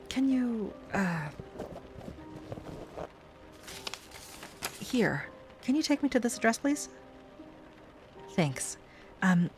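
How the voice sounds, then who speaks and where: A woman playing an obsessive, sweetly pleading ex-girlfriend in an intimate audio roleplay, speaking softly and haltingly. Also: medium pitch. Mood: polite, bright. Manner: soft, halting, wistful, with sighs and nervous little laughs. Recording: music under the voice.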